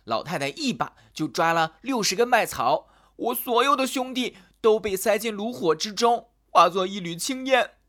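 A man's voice: sits high, animated, voice pushed up. A man voicing a proud, bossy male wheat straw in a children's storybook tale, speaking boastfully. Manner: boastful, quick and loud.

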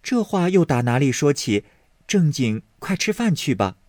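A man's voice: fairly high pitch, sing-song, normal speed, darkish tone, dry recording, pitched above the performer's own voice. A man voicing a playful sixteen-year-old maid girl in a lilting, coy voice, in a dry, close recording. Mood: sweet, coy.

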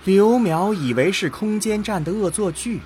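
A man's voice: sits high, animated, moderate pace, a little mellow, slight background noise. A man narrating a scripted science fiction audio drama.

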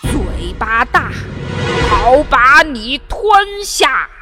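A woman playing a sly, menacing male wolf in a children's fairy tale, with drawn-out, exaggerated delivery. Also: high-pitched, big pitch swings, long reverb tail, music in the background, exaggerated voice.

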